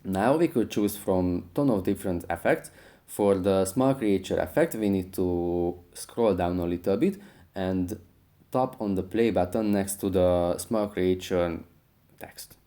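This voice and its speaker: A man's voice: low-pitched, unhurried, dark tone. A man hosting a how-to tutorial in scripted narration. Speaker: tutorial host.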